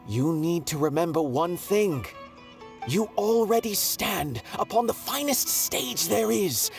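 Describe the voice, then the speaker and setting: A lofty wandering ex-star performer. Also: male voice, high-pitched. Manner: theatrical, lofty phrasing. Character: wandering former star performer, free-spirited, grandly poetic. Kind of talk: video game voice acting.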